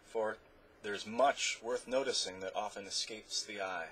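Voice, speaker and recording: A man voicing a gentle, wise boy in a storybook, speaking softly. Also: mid-range voice, lively intonation, fairly quick, distant mic, a little hiss or hum, pitched above the performer's own voice. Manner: soft-spoken.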